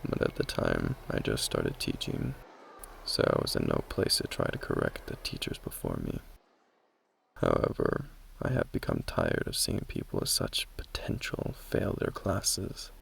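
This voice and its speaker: A man playing an earnest, caring magic academy teacher in a soft, whispery ASMR roleplay, speaking in a measured, gently formal way. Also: huge pitch swings, a little hiss or hum, heavily acted. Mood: earnest, encouraging. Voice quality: whispery, breathy, mostly unvoiced. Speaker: roleplay voice performer. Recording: low-quality amateur recording.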